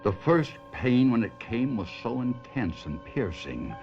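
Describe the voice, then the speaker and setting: An actor playing an anguished stage performer and husband in an old-time radio drama, husky and theatrical. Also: male voice, mid-range voice, very animated, a little slow, loud music behind the voice. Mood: haunted narration. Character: despairing, tormented middle-aged stage ventriloquist husband. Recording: muffled vintage radio drama recording.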